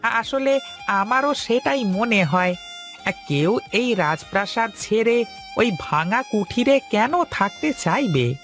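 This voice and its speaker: A voice actor playing a deferential servant in an animated moral story, speaking in a measured way. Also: mid-range voice, faint music behind. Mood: deferential, earnest. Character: Ramu, a deferential servant bearing news.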